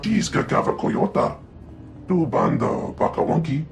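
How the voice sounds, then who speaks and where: A male actor voicing a small, twitchy, excitable alien merchant in a video game, jabbering in an invented tongue with a creaky voice. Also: fairly high pitch, slow, faint music behind, full character voice. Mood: excited, haggling.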